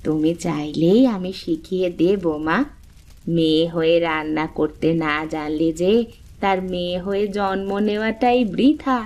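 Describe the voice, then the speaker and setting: A woman voicing a kindly older village aunt in a cartoon, gentle, homely and sage in manner. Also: fairly low pitch, a little slow. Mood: warm, motherly. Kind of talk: cartoon dialogue acting.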